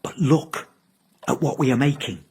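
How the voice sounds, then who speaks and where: Grand, husky Victorian visionary. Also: male voice, medium pitch, normal speed, darkish tone. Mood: earnest, grand. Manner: grand, rhetorical, declaiming. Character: eccentric, visionary Victorian husband, grandly earnest and devoted. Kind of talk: theatrical audio drama acting.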